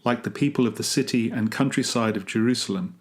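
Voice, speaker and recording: A man reading a scripted, reflective devotional meditation as a church rector, in a measured and earnest manner. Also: mid-range voice.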